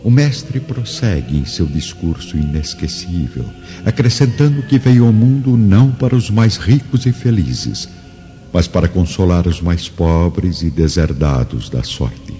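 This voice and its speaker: An actor playing the narrator of a radio drama, solemn, deliberate and literary in his scripted storytelling. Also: low voice, animated, dark tone. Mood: solemn, reverent. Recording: dull lo-fi recording, faint music behind. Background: faint music.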